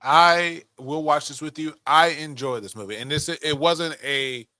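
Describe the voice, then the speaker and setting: A man with a bright, punchy voice chats as a podcast co-host. He is informal and slangy, doubling back on phrases, in a very dry recording. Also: fairly high pitch, a little slow, no room sound. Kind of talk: casual movie discussion chat.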